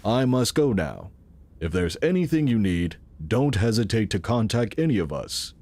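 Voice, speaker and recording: A man's voice: lowish voice, sing-song, normal speed, darkish tone. A man playing a benevolent, reassuring founder in a sci-fi audio drama, speaking with formal courtesy. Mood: benevolent assurance. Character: benevolent, reassuring male founder of futuristic organization.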